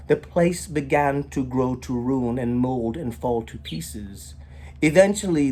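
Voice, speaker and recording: A man reading a short story as its storyteller, in a theatrical, rhythmic manner with drawn-out emphasis and rhyming phrases. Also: mid-range voice, dark tone, a little hiss or hum. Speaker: storyteller, author reading own work.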